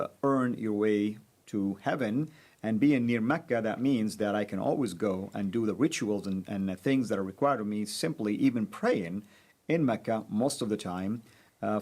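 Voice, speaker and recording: Low-voiced man with measured, hesitant delivery. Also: darkish tone. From Saudi Arabia. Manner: measured, frequent pauses and fillers. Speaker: guest. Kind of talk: personal testimony in conversation.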